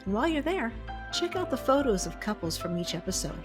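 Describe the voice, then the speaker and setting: A woman's voice: on the high side, big pitch swings, a little fast, over music. A woman hosting a podcast, affirming her guest with frequent encouraging murmurs and closing the episode. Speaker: podcast host. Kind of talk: podcast interview and outro.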